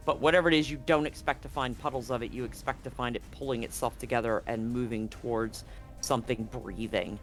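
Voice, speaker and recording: A man running a tabletop horror game as game master, setting scenes slowly and deliberately, often restarting his sentences. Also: medium pitch, steady tone, soft background music.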